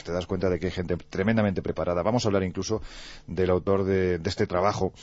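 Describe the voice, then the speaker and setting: A man from Andalusia talking about mysteries on a radio show in an animated, joking way, with lots of fillers. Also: deep voice, dry recording, highs cut off. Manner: animated, jokey, frequent fillers. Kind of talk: lively radio chat and storytelling.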